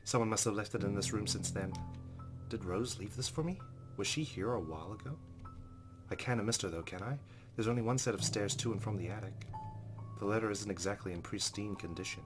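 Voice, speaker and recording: A man's voice: on the low side, brisk, over music. A man hosting a let's play, reading a visual novel aloud with dramatic, jittery asides.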